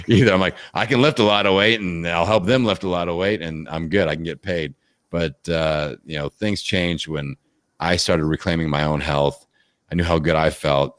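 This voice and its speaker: A man with a very deep, low voice chats as an interview guest, energetic and rambling with plenty of fillers. The sound is dry and close, with no room echo. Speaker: podcast guest, fitness coach. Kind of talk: casual interview chat. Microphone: video call.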